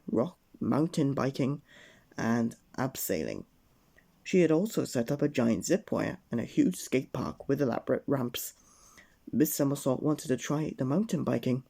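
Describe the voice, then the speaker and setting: Dark-voiced man reading a bedtime story. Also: medium pitch, gravelly, slightly rough. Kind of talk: children's storybook narration.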